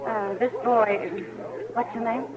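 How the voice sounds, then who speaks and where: A self-possessed American saloon keeper in an old radio western. She speaks in a dry, teasing, easygoing way. Her voice sits fairly high, with a bustling background behind it. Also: fairly even intonation, takes it slowly, a loud crowd, poor audio. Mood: helpful. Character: self-assured, wry female saloon keeper. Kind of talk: 1950s radio western acting.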